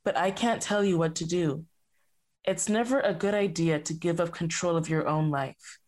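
A woman with a Canadian accent plays a calm, absent-minded therapist who gives stock advice. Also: on the low side, moderate pace, close to an everyday voice. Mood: detached. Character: distracted, bland female therapist.

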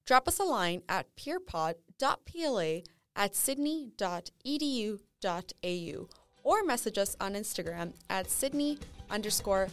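Lively woman announcer over soft music. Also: medium pitch, moderate pace, clean tone, no room sound. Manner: upbeat, announcing. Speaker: podcast announcer. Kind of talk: scripted outro.

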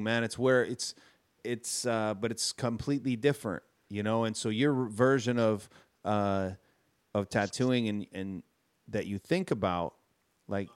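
A man who tattoos in the Western style, interviewing a fellow artist in a laid-back way and calling him "man.". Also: on the low side. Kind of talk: casual interview chat.

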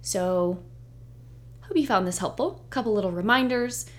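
Woman, young adult, solo podcast host; voice a bit hoarse, upbeat and encouraging delivery. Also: mid-range voice, normal speed. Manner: chatty, upbeat and reassuring. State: hoarse, nearly losing her voice. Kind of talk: solo podcast intro monologue.

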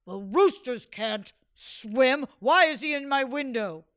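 Storybook villain: big greedy sultan played by a woman, low gruff orders, drops to a hiss, slow. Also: no echo, clean studio sound. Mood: angry. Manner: low gruff commands, hissing whispers. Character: huge greedy male sultan, bossy and cross.

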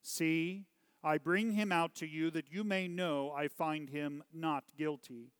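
A man voicing a troubled, pleading Roman governor in a dramatized scripture story, declamatory and deliberate. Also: fairly high pitch, fairly even intonation, fairly bright tone, smooth, no echo, long reverb tail. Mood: declaring. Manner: emphatic, deliberate, declamatory questioning.

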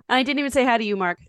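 A woman's voice: on the high side, fairly bright tone, dry recording, clean studio sound. A woman co-hosting a wine podcast in a friendly, chatty way. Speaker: podcast co-host. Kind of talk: casual interview hosting.

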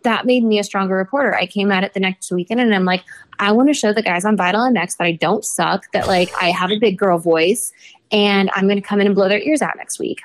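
A woman's voice: medium pitch, a little fast. Casual talky young woman reporter, rambly, filler-heavy. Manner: chatty, rambling storyteller with many "like" fillers. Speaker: motorsport reporter, podcast guest. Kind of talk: casual podcast chat.

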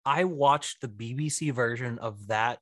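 Guy guesting on a podcast, casual, jokey, dry teasing, medium pitch, no echo at all. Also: a little slow, a bit bright. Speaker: podcast guest, audio drama writer and director. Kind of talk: casual interview chat.

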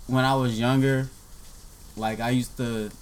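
Relaxed young man telling a story. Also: medium pitch, unhurried, clean tone. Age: young adult. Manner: relaxed, filler-heavy, many "like"s. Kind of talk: casual conversation, storytelling.

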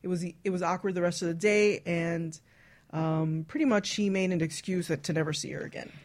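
Lower-pitched woman hosting candid talk radio. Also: fairly even intonation, a little fast, a little mellow. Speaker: radio talk show host. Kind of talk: candid casual chat, reading out listener stories.

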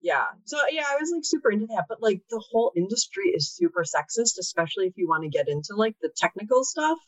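A woman's voice: mid-range voice, very animated, fast talker, dry recording. A woman in her late thirties talking casually as an interview guest, chatty and full of "like" fillers. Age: 30s. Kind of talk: casual conversation. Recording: dull lo-fi sound, dry.

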